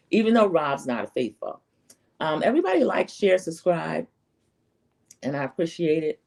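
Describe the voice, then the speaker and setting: Low-pitched, slightly scratchy woman recapping TV. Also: darkish tone. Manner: opinionated, rambling storytelling with acted-out quotes. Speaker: host of a reality TV recap channel. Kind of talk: casual chatty recap commentary.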